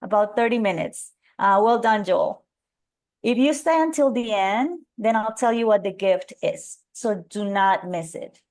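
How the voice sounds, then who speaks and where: A middle-aged woman from Colombia with a Colombian Spanish accent, presenting an energetic live webinar and calling out audience members by name. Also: mid-range voice, measured pace. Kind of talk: live webinar coaching talk.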